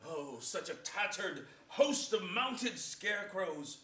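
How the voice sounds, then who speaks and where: A man's voice: high voice, very animated, slow, sharp tone, exaggerated voice. An actor playing a loyal noble lord counselling his king, formal and declamatory, in a Shakespearean stage reading. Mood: mocking. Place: theater stage before an audience, indoors. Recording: echoey theater, distant microphone.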